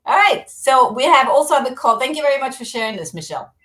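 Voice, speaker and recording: A woman's voice: high voice, animated, quick pace, fairly bright tone. A woman hosting a casual interview on a video call, upbeat and greeting viewers. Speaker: host.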